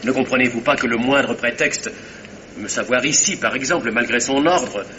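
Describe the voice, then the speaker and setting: An actor playing a tense, bitter young heir in a radio mystery, with a forceful theatrical delivery. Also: male voice, mid-range voice, moderate pace, muffled recording, a little hiss or hum, natural voice. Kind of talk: French radio drama acting.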